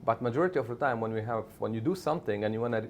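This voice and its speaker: A man's voice: mid-range voice, expressive, rapid-fire, a little hiss or hum. A middle-aged man whose first language is Arabic, answering interview questions in an emphatic way and often adding "right?" to his points. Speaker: media executive guest.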